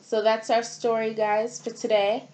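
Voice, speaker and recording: Slow-paced woman teaching preschoolers. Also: on the high side, little pitch movement, darkish tone, a little hiss or hum. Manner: simple, deliberate phrasing for small children. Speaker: preschool teacher and storytime host. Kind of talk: children's lesson and read-aloud, addressing young children.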